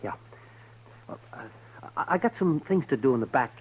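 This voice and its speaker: A man's voice: mid-range voice, lively intonation, a little fast, slightly rough. An actor playing a nervous, evasive bartender man who stammers, in a 1950s radio drama. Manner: hesitant, stammering. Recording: dull lo-fi old radio recording.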